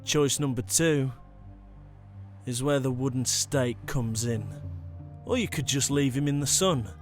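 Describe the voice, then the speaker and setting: A man's voice: medium pitch, measured pace, close to an everyday voice. A man playing an enigmatic former vampire turned hunter in an audio roleplay, speaking in a coolly conversational, teasing way. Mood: calm explaining. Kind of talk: ASMR audio roleplay drama. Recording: music bed behind the voice.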